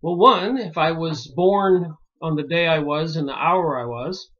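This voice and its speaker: Slow, musing man on a dull recording. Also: fairly high pitch, a bit bright. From Pennsylvania, United States. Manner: slow and musing, many pauses and fillers. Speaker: video commentator. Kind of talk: rambling solo monologue.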